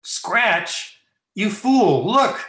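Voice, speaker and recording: A man acts an excitable, wisecracking young fellow in an old-time radio drama. His mid-range voice moves widely up and down, and the recording has a roomy echo. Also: high-pitched, very slow, exaggerated voice. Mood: alarmed. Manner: sarcastic, excitable.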